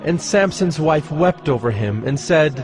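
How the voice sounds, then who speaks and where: A man's voice: a little high, expressive. Solemn male Bible narrator over music. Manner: solemn, measured storytelling.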